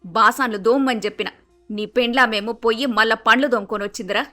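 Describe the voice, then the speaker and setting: A woman's voice: a little high, steady tone, fast talker, pushed and bright, faint music behind. A woman playing a bossy, grumpy middle-aged mother-in-law with a Telangana Telugu accent, blunt and scolding, in a story cartoon. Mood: exasperated, scolding.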